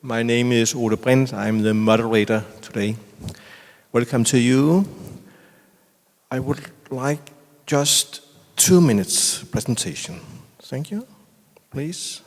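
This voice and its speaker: In a hall with long reverb, a man moderates a panel discussion. He has a Danish accent and an average-pitched voice, and his English is halting and clearly a second language. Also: a little mellow. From Denmark. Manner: halting non-native English. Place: large reverberant conservatory hall.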